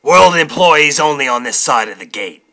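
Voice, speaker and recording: Man playing sharp, forceful smug clerk. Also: lowish voice, animated, brisk. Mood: barking. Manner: taunting. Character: smug, sneering male distribution window clerk. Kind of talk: audio drama dialogue.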